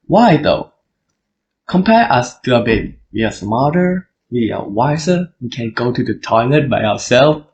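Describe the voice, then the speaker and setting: Young thai guy hosting a solo podcast in english, thai accent, earnest, explains stuff straight to you, mid-pitched voice. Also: rough recording. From Thailand. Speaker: podcast host. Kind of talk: solo podcast monologue on language learning.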